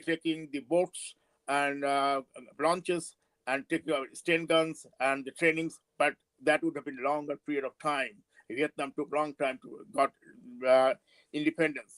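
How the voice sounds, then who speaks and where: A man in his seventies with a Bangladeshi accent and Bengali as his first language, giving a political speech with personal recollections in a halting, emphatic way. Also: on the high side, no room sound, poor audio. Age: 70s. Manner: halting, emphatic, with drawn-out words. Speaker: panel speaker at a forum.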